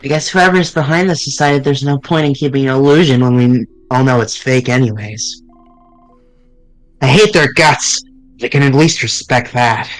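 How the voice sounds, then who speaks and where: A man streaming, reading visual novel dialogue aloud in an earnest, halting way with small restarts. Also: medium pitch, low-quality recording.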